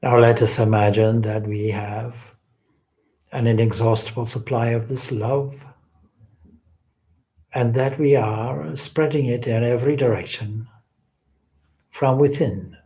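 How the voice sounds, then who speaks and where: A man leading a guided meditation as a swami, speaking in a gentle, reverent, deliberate way with long pauses. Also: medium pitch, fairly even intonation.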